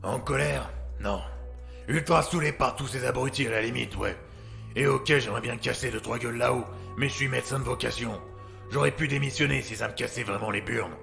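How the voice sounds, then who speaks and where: A man's voice: mid-range voice, fairly quick, creaky, echoey room, over music. A man playing a blunt, worn-out, foul-mouthed doctor with superpowers in an audio drama. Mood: sardonic, fed up. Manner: blunt, sardonic, crude slang. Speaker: voice actor.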